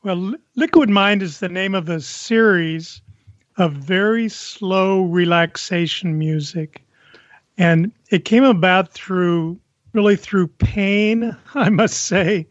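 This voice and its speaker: An older male interview guest with a notably high voice for a man. He talks conversationally, recounting things as a guest would, on a very dry and slightly dull recording. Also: slow, dry recording. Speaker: podcast guest, composer and musician.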